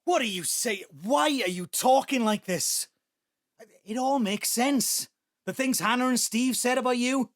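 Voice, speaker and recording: Agitated, high-pitched angry son. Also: male voice, a little slow. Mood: angry, accusing. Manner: agitated, accusatory. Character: angry, accusing adult son with an axe to grind. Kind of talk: audio drama acting.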